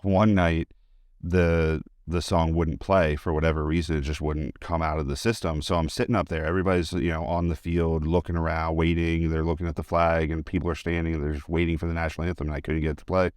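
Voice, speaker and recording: A man's voice: rumbling low, flat delivery, fairly quick, a little mellow, clear, resonant tone, no room sound, clean studio sound. A man giving a casual, chatty interview, telling anecdotes in an informal, enthusiastic way. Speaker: interview guest, high school teacher and sports announcer.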